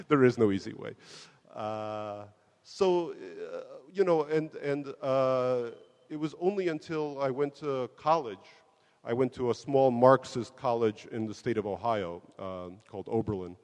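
A man's voice: mid-range voice, measured pace, a little mellow. Self-mocking man in a reverberant hall. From Soviet Union. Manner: self-deprecating, laughs often, many restarts. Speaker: interview guest. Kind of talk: relaxed conversational storytelling.

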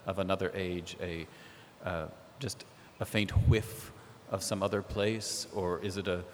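A man talking as a literary translator on a panel, thoughtful and hesitant, with many pauses and ums. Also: low voice, steady tone, a little hiss or hum. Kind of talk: panel discussion. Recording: echoey hall.

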